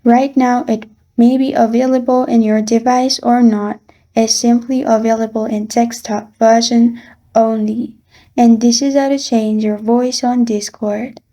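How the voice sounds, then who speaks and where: A woman with a fairly high but very flat voice walks through software steps as a tutorial host. Her delivery is slow, plain and instructional, with a soft, mellow tone. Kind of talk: step-by-step software tutorial voice-over.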